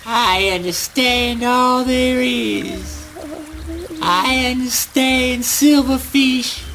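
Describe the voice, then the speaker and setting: A man playing an eccentric, grandiose son in a theatrical spoken word drama, with an erratic, drawn-out delivery. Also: sits high, sharp tone, heavily acted. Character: eccentric, grandiose son boasting of strange knowledge.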